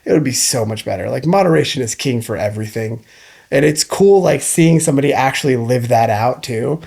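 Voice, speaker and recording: A man in his early thirties talking as a nutritionist guest on a casual podcast, animated and easily exasperated, calling people dude. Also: mid-range voice, a little fast, vocal fry, slightly rough. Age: 30s.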